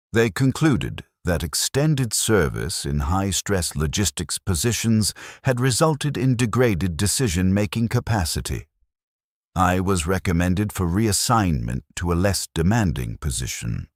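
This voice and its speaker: A man delivers scripted first-person narration in a profoundly low voice. His phrasing is measured and solemn, yet the pitch moves expressively. The sound is close and dry, suited to a cinematic story. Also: no room sound.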